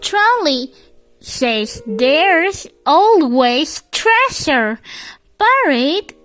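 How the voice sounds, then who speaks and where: Halting, high-pitched storybook reader, childlike voice. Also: big pitch swings, a bit bright, soft background music. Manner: halting word by word, with stumbles and repeats. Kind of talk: read-aloud of a children's picture book.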